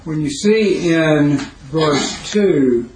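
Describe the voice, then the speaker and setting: Hoarse man teaching a Bible class. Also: fairly high pitch. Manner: halting, questioning teacher who pauses to quiz the class. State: raspy, hoarse voice. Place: classroom, indoors. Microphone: recorder set near the speaker. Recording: roomy classroom recording, faint noise.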